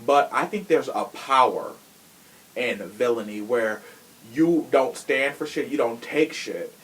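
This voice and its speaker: A man's voice: mid-range voice, a little slow, fairly bright tone, slight background noise, poor audio. A man hosting a podcast in a casual chat, with a sassy, slangy and opinionated way of talking. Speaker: podcast host.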